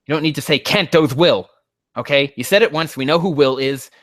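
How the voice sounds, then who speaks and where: A man's voice: a little high, brisk, a bit bright, no echo, studio quality. A man hosting a sarcastic reaction reading of fan fiction, with a profane, mocking manner prone to exasperation. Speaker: host.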